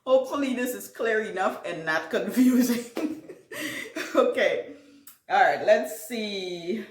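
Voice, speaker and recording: A woman from Guyana with a warm Caribbean accent talks at an unhurried pace, answering viewer questions at home. She rambles gently with fillers and restarts. Her voice carries a noticeable room echo. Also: mid-range voice, expressive. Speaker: gardening channel host. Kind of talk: casual Q&A vlog.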